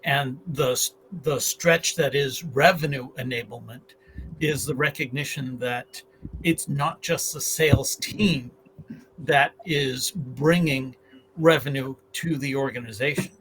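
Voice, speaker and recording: A man's voice: medium pitch, measured pace, a bit bright, slight background noise, low-quality recording. A man on a livestream panel, earnestly telling a story from his career to make his point.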